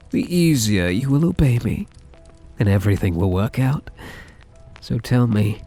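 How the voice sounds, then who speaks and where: A soft yandere mafia heir, acted by a man in an intimate ASMR roleplay, speaking slowly with a breathy, husky edge. His delivery rises and dips expressively as he coaxes, tender and possessive. Music plays quietly behind him. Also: fairly low pitch. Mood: yearning, possessive. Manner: tender, coaxing, softly possessive.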